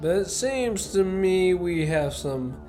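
A man acting in a student radio play as a courteous, menacing gentleman professor who is secretly a spy chief. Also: sits high, expressive, takes it slowly, faint music behind. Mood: smoothly menacing. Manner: courteous, genteel, theatrically menacing.

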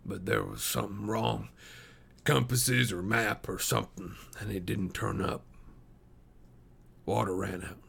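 A man voicing a gaunt, dying frontier man, weary and gentle, with low, creaky, halting, folksy speech in a storybook. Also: fairly even intonation, exaggerated voice. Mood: halting, resigned.

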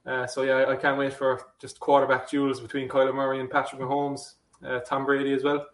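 An Irish man talks sports on a podcast in a fairly flat, even voice, stumbling and repeating himself now and then. He sits well back from the mic, so his voice comes through roomy and distant. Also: mid-range voice, fairly quick. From Ireland. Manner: hesitant, repeats words, laughs easily. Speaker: podcast guest. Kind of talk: casual sports chat.

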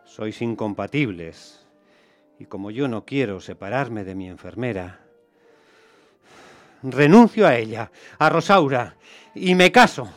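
Earnest, deliberate gentleman played by a man. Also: medium pitch, expressive, no echo, soft background music, lightly acted. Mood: earnest, resolved. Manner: hesitant, deliberate phrasing. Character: gentleman master, earnest, deliberate, honourable convalescent suitor. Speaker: radio drama actor. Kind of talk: radio theatre acting.

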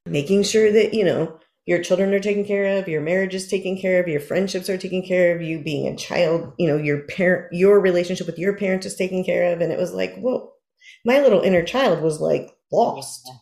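A woman's voice: on the low side, fairly quick, vocal fry, a little mellow, reverberant. A woman in her fifties chatting casually, rambling and self-deprecating, acting out her own words when she quotes herself. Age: 50s. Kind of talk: casual chat.

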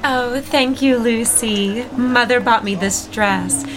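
A woman's voice: fairly high pitch, takes it slowly, background noise. A woman playing a polite, eager young working woman in a period audio drama, sweet and proper. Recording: music under the voice. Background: music.

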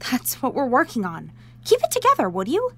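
A young woman playing a bossy, excitable teenage amateur scientist in an audio drama, sarcastic and mock-formal. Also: high voice, fairly quick, a little hiss or hum, heavily acted. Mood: coaxing, impatient. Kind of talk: naturalistic audio drama acting.

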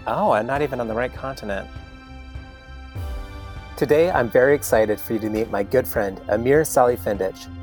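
A man's voice: medium pitch, moderate pace, pauses often, a little mellow, faint music behind. A man hosting a podcast who introduces his guest earnestly and speaks with open, heartfelt praise. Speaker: podcast host.

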